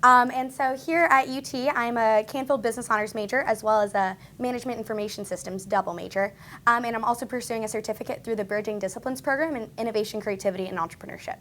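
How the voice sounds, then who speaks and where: A woman's voice: on the high side, steady tone, fast, fairly bright tone, a little hiss or hum. A young woman from South Florida, a business honors student introducing herself and her internships on a panel, chatty with frequent ums. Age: young adult.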